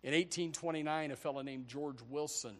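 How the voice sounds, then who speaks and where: A man in his forties delivers a church sermon with a voice set a little higher than most men's. He surges into loud, emphatic peaks, then sinks into low, quiet stretches, hammering home the same phrases again and again. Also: fairly quick. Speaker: pastor. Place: church service before a congregation.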